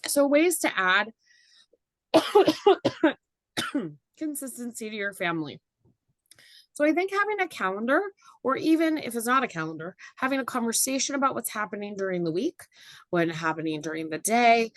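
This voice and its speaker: A slightly hoarse woman facilitating a parenting workshop, speaking chattily with fillers and frequent "right?" tags. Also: medium pitch, no room sound. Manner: chatty, with many fillers and tag questions. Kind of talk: informal workshop presentation. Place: meeting room with live audience.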